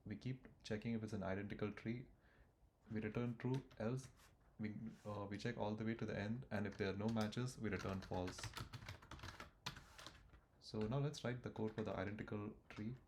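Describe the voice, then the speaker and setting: Man, lower register, coding tutorial; thinks aloud, faint typing noise. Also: steady tone, moderate pace, a little mellow. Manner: thinks aloud while typing, frequent fillers and restarts. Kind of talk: screen-recorded coding walkthrough.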